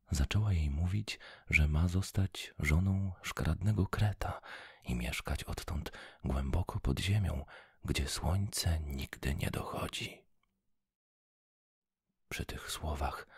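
A man reading a fairy tale aloud in a soft, slow whisper. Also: extremely low pitch, vocal fry, breathy edge, dry recording, studio quality. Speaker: storyteller.

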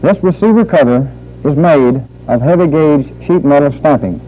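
A man working as a military instructor, narrating a training film in a formal, deliberate, measured manner. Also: fairly high pitch, lively intonation. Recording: muffled phone-like old training film soundtrack.

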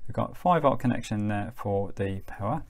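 Middle-aged male review host, low gravelly voice, chatty and matter-of-fact delivery. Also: lively intonation, moderate pace. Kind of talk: casual product review.

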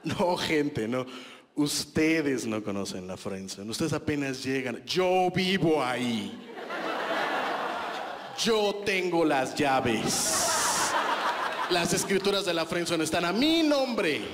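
A man in his thirties with a Mexican accent works a small club crowd through a handheld mic. His delivery is chatty and self-mocking, dotted with crude slang, and his pitch sits a little high and swoops around a lot. A long reverb trails each line. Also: very animated, slow pace, background noise. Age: 30s. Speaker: stand-up comedian. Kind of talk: stand-up comedy set. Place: small club stage with a live audience.

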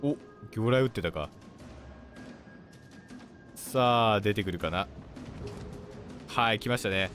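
A man commentating on gameplay as a video host, explaining politely in short, halting phrases. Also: lowish voice. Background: game music and artillery fire.

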